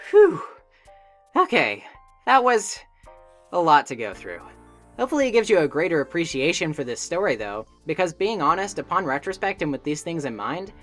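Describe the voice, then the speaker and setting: A woman's voice: fairly low pitch, fairly bright tone. A woman narrating a scripted video essay in an emphatic, conversational way, as its presenter. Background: music.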